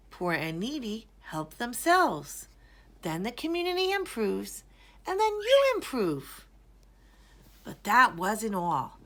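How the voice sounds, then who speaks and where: A woman reading a children's story aloud in an expressive, deliberate storytelling manner. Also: on the high side. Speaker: storybook reader. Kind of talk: scripted children's story narration, read aloud.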